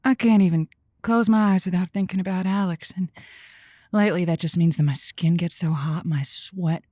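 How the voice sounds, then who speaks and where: An actress plays a flirty late-night lonely-hearts radio hostess in an audio drama. She speaks a little low, with a cutesy, yearning manner and a close, dry sound. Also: steady tone, moderate pace, warm tone, dry recording, lightly acted. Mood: frustrated, yearning.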